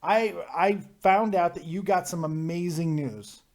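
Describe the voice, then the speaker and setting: Male stream host, high voice, deadpan roasting, casual banter. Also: measured pace. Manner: teasing, mock-serious roasting. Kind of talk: casual joking chat.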